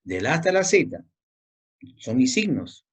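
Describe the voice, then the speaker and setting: A man's voice: mid-range voice, sing-song, a little slow, slightly rough. A male teacher giving a language lesson, repeating key points and quizzing the student with questions. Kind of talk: classroom lecture.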